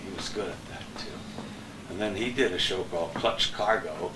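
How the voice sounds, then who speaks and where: Older male guest, lowish voice, casual nostalgic chat, off-mic with noise. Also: moderate pace. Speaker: former TV weatherman, guest. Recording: echoey room, distant mic, clear noise.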